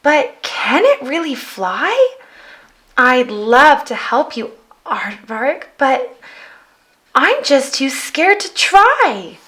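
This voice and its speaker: A woman's voice: high-pitched, big pitch swings, extremely slow, a bit bright, voice pushed up, exaggerated voice. A woman voicing a kind, eager, earnest little girl in a children's storybook. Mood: nervous. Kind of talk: children's storybook read-aloud.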